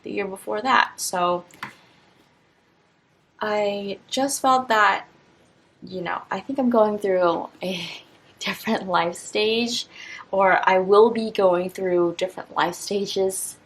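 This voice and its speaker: A young woman in her twenties chats casually through an unboxing, drifting and restarting her sentences. Her delivery is slow and unhurried. Her voice is husky with a gravelly edge. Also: mid-range voice, rough recording. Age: 20s. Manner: relaxed, rambling, with many fillers and restarts. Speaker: teacher and stationery vlogger.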